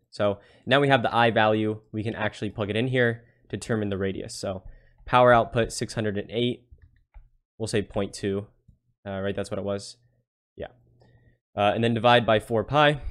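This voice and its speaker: A man with a fairly low voice works through a problem as a tutor. He explains casually, one step after another, and regularly tags his points with a quick check for understanding. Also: clear, resonant tone. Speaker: physics tutor.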